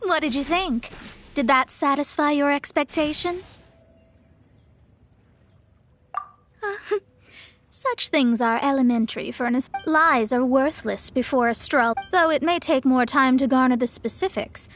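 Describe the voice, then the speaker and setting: High-voiced, proud young astrologist. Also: female voice, moderate pace, a bit bright, slight background noise. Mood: smug. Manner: theatrical, haughty, formal phrasing. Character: proud, dramatic young female astrologist, self-assured master. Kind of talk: anime-style video game voice acting.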